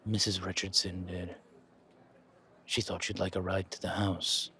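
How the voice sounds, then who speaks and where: A man voicing a polite, subdued chauffeur in an audio roleplay, speaking quietly and formally with halting pauses. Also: deep voice, flat delivery, breathy edge, voice pushed down, full character voice. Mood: polite, reserved.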